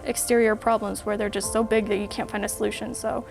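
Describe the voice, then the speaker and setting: A woman's voice: medium pitch, level tone, quick pace, vocal fry. A young woman from Colorado, a film student, speaking hesitantly as she searches for words in an interview. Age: young adult. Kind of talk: documentary interview. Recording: music bed under the voice.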